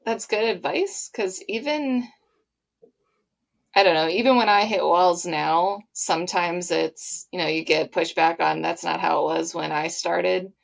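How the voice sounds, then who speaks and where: A woman's voice: on the low side, a little slow, vocal fry. A woman hosting an interview, talking in a reflective, conversational way. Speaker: podcast host.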